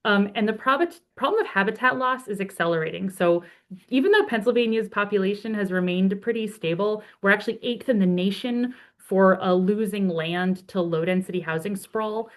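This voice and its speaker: A woman presents over a video call, friendly and chatty, leaning on ums and uhs as she goes. Her voice is slightly hoarse from a cold, at an ordinary middle pitch. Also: normal speed. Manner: friendly, talks freely with many ums and uhs. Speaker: conservation advocate presenting a report. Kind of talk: webinar presentation.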